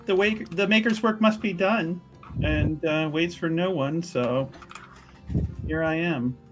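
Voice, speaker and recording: A woman's voice: lowish voice, slow pace, soft background music. A woman playing a prim, weary and snide religious sister in a tabletop game, with dry, pointed sarcasm. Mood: pointed, skeptical.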